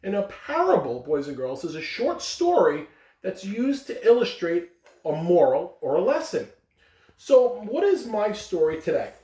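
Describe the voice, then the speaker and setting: A man addresses young schoolchildren with emphatic, highly varied intonation. He delivers announcements and stories in a direct, animated way. The recording is echoey, with his voice some way from the microphone. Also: a little slow. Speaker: school announcer reading aloud. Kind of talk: morning announcements and storytelling for children. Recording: echoey room, distant microphone.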